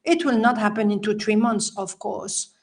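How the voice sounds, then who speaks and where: A woman's voice: mid-range voice, moderate pace. A woman from Belgium with a Belgian French accent, a native French speaker, chatting as a podcast guest over a video call, laughing often and phrasing things in a non-native way. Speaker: podcast guest, healer and life coach. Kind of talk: casual interview conversation.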